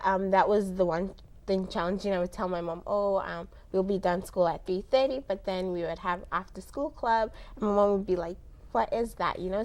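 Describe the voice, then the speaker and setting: Young woman from South Africa, native Somali speaker. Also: mid-range voice. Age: young adult. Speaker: interview guest. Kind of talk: casual interview answers.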